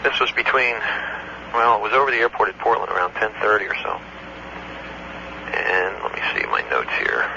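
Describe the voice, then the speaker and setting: Gravelly low-voiced man on a noisy call. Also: sing-song. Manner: matter-of-fact, halting recall with technical detail. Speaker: air traffic control supervisor. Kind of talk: recounting an incident on a phone call. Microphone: telephone. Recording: noisy muffled old taped phone call.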